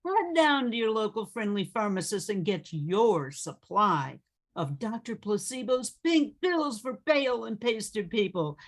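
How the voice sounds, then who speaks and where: An emphatic radio announcer acted by a woman sells a quack miracle remedy in a parody commercial. The delivery is slow, with a very lively, rising and falling pitch. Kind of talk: old-time radio ad parody.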